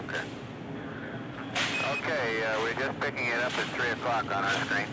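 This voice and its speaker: An American man speaking over a space-mission radio link, halting and matter-of-fact as he reports technical observations. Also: mid-range voice. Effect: space-mission radio transmission. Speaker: astronaut or mission radio operator. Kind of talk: live mission radio communication. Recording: very noisy archival space radio transmission.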